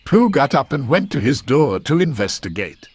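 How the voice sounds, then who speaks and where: A man narrating a children's story from a script in an expressive storytelling manner. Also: mid-range voice, moderate pace, breathy edge, dry recording.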